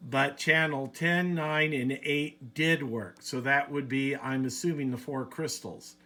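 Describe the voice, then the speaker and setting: A man giving a casual, unhurried, rambling demonstration as a hobbyist presenting a video. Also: medium pitch, pushed and bright.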